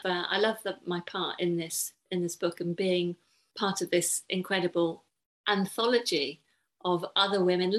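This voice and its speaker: A middle-aged woman, speaking thoughtfully and earnestly as an interview guest, with measured pauses. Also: fairly low pitch, a bit bright. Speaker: podcast guest, therapist and coach.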